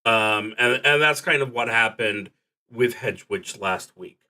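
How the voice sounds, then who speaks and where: A man streaming solo tabletop roleplaying, explaining the game casually in an earnest but halting way with long pauses. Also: medium pitch, bright, forceful tone.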